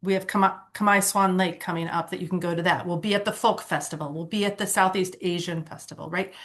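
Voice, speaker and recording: A woman's voice: on the low side, steady tone, quick pace, a bit bright. A woman from Ohio leading a nonprofit board training workshop, talking conversationally with fillers and self-corrections, and getting enthusiastic when she tells her own story. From Ohio, USA. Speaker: workshop presenter.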